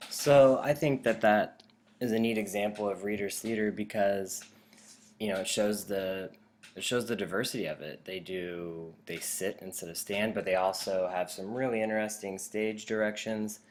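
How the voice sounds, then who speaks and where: A man's voice: lowish voice, fairly even intonation, normal speed, a little hiss or hum. An American man speaking as a teacher, giving an informal instructional presentation in an encouraging manner.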